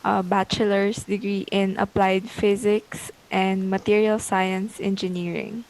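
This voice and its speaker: A woman's voice: medium pitch, little pitch movement, unhurried, darkish tone, rough recording. A female student from the Philippines introducing herself politely and eagerly.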